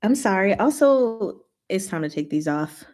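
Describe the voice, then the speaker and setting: A woman hosting a casual, rambling livestream chat with viewers, talking in an expressive, playful way. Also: medium pitch, a little slow. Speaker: livestream host answering chat.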